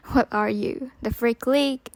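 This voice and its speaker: A jeering college bully played by a woman taunts in a fairly high voice. The manner is mocking radio drama acting, recorded dry. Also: a little mellow, dry recording.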